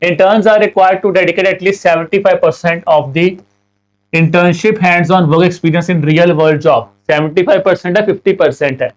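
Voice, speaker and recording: Indian male tutor, young, somewhat high voice, sore throat, slow reading, fast explaining in Hindi and English. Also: rough recording. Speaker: exam prep teacher. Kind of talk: online class solving quiz questions.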